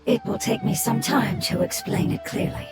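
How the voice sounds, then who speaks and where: A woman voicing a gentle ancient nonhuman survivor in a video game, speaking solemnly and formally in a breathy, whispery voice. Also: a little high, sing-song. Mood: solemn, sorrowful. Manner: solemn, measured, formal storytelling. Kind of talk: scripted video game dialogue. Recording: game audio under loud music.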